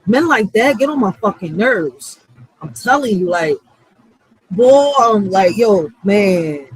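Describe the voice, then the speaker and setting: A woman's voice: medium pitch, animated, takes it slowly, slightly rough, no room sound, poor audio. A woman giving a heated, profane casual monologue, blunt and emphatic, using street slang and repeating phrases for stress. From Puerto Rico or Puerto Rican background. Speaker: channel host.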